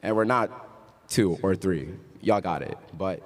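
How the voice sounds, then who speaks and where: A man's voice: deep voice, takes it slowly, in a large hall. A young man from Ghana giving a graduation speech, casual and joking, then earnest and uplifting. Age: young adult. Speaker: graduation speaker. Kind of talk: commencement speech. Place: large hall.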